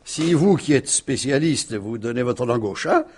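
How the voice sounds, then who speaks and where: An actor in a French radio mystery play, playing a wry, nonchalant husband who evasively shrugs off suspicion. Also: male voice, mid-range voice, animated, moderate pace, darkish tone, breathy edge. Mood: ironic, unbothered. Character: wry, unruffled husband suspected over his missing wife.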